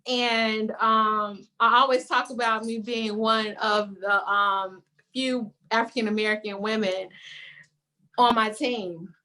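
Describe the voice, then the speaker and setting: A woman in her thirties from Chicago's west side with a Chicago accent, sharing her story reflectively on a video call panel, with many "um" pauses. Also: a little high, fairly even intonation, sharp tone, low-quality recording.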